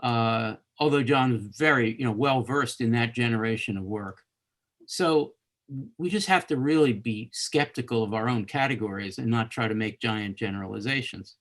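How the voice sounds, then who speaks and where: A man hosts a live online literature discussion in a conversational way. His voice sits at an ordinary middle pitch, and he rewords his thoughts as he speaks. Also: moderate pace, a bit bright. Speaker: host of a live literature course webcast. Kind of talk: unscripted discussion hosting.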